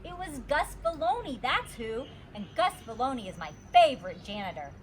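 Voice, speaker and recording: Animated, high-voiced woman reading a story. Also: crowd noise. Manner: animated, deliberate storytelling for children. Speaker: teacher reading a story aloud. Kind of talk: expressive children's storybook narration.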